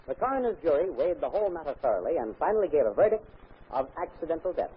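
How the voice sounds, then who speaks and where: A man's voice: on the high side, slightly rough, exaggerated voice. A man narrating an old radio drama with solemn storytelling. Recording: tinny old radio broadcast.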